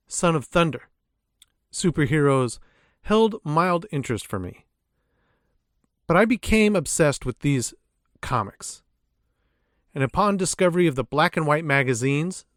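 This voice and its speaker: A man's voice: a little high, dry recording, clean studio sound. A man hosting a podcast, reading a scripted introduction with casual asides in a theatrical, playful storyteller's phrasing. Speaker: podcast host.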